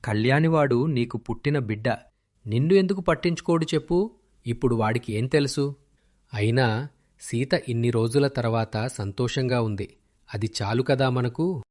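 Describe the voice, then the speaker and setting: A man's voice: medium pitch, moderate pace, a little mellow, close to an everyday voice. A man playing a principled village husband in a children's moral cartoon, reasoning earnestly.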